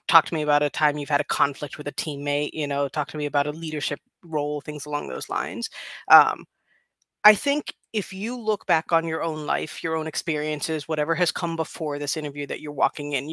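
A man's voice: on the high side, pushed and bright, dry recording. A man on a video call panel, thoughtful and loose, with many fillers. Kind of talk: casual panel chat.

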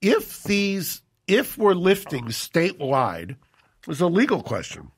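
An older man co-hosting a podcast in a studio, talking into a boom mic, indignant and full of rhetorical questions. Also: huge pitch swings, slow, husky. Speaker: co-host. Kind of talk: casual podcast talk. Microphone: studio boom mic with headphones.

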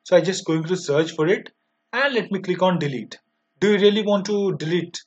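A man in his twenties with an Indian accent, narrating a step-by-step software tutorial in a halting, repetitive way. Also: high-pitched. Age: 20s. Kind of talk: step-by-step screen demo narration. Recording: dull lo-fi microphone sound.

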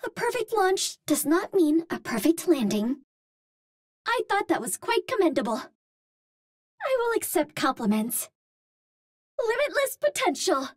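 A woman's voice: very high voice, sing-song, measured pace, pauses often, dry recording, clean studio sound, full character voice. A woman voicing a cute, earnest young Martian heroine in a video game, with precise, formal phrasing. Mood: earnest, polite. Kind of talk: video game character voice lines.

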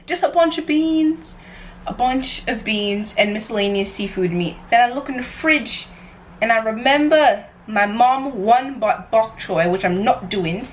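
A young American woman chatting casually to the camera in a playful, chaotic way as she vlogs in her home kitchen. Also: on the high side. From New York, United States. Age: young adult. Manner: playful, chatty, self-described chaotic energy. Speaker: vlogger. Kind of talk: casual cooking vlog chat to camera. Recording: clear background noise, room ambience.

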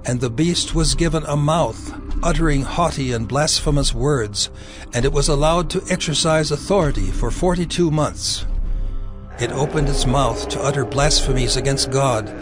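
Male narrator, somewhat high register, solemn and measured scripture drama, faint music beneath.

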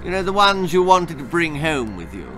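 A man's voice: fairly high pitch, big pitch swings, moderate pace, music in the background, pitched above the performer's own voice, low-quality recording. A man voicing a proud, eccentric storybook father with an English accent, blustering and callously cheerful. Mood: proud, breezy. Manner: blustering, stammering eagerness.

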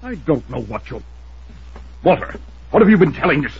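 An actor playing a stiff, scheming older museum curator, formal and imperious, in an old radio detective drama. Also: male voice, on the high side, sing-song, moderate pace, slight background noise. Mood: menacing. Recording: muffled old radio recording.

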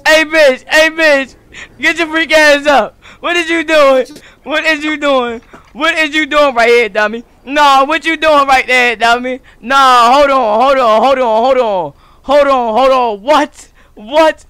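Casting: American male game streamer, piercing high voice, forceful, repetitive street-slang trash talk. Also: a little slow, dry recording. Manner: loud, repetitive, taunting shouts. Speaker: streamer role-playing as a gang member in an online game.

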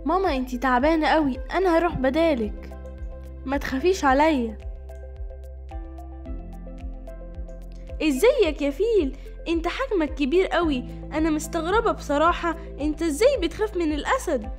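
A woman plays a small, clever girl rabbit from a storybook, high and self-assured. The accent is Egyptian, with gentle music behind. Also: lively intonation, a little fast. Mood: caring, curious. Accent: Egyptian Arabic.

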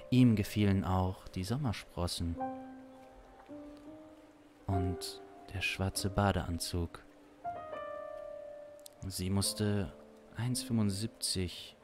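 A man in his thirties improvising a crime story as narrator, deliberate and suspenseful, with long pauses, close on a studio mic. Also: lowish voice, darkish tone. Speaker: improvising audio-drama narrator. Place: small studio room. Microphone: close studio condenser mic. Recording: music under the voice. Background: instrumental music.

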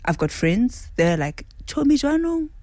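Woman, forties, animated radio guest, studio mic, dry close sound. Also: on the high side, unhurried, no room sound. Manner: animated, mixes Setswana into English, acts out others' words. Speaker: restaurant owner, guest. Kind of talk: casual radio interview. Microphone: studio boom mic.

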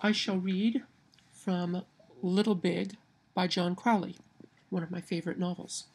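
Midwestern lady w nasal twang, kinda husky and gravelly, reading slow into her webcam at home. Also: fairly low pitch, fairly even intonation, a little mellow. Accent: Midwestern American. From Kansas. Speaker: vlogger. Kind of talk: reading a word list and a novel passage aloud. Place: home room by window blinds, indoors. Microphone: webcam at arm's length.

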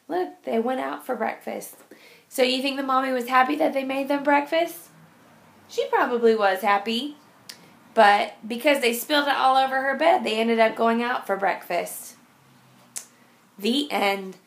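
Slow, high-voiced woman reading to children. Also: creaky, a bit bright. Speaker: teacher reading aloud. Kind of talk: picture-book read-aloud for young children.

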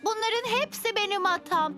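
A woman's voice: extremely high pitch, moderate pace. A woman voicing a clumsy, apologetic young lizard-boy hero in a dubbed cartoon, fretful and blaming himself. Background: music score.

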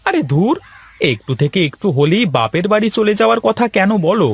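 A man's voice: sits high, big pitch swings, normal speed, breathy edge, exaggerated voice. A man voicing an exasperated fisherman husband in a cartoon, grumbling and complaining. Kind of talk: cartoon dialogue acting.

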